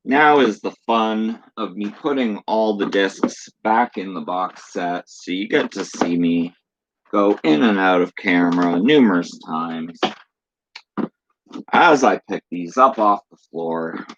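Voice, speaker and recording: Low-voiced man, hoarse, chatty horror collector. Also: slow, dull audio, noisy background. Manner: reads box blurbs aloud in a chatty, offhand way. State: losing his voice. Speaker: channel host. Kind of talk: casual unboxing chat. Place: room at home.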